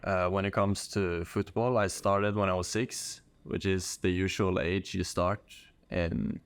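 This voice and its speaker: Low, close-miked young man. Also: flat delivery, a little slow, clear, resonant tone, no echo. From Norway. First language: Norwegian. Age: young adult. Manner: informal, slangy. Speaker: podcast guest, college soccer player. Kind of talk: casual interview chat.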